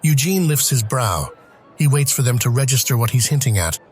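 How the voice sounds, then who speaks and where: A man's voice: medium pitch, animated, fairly quick, a little mellow. Male narrator reading screenplay action over music.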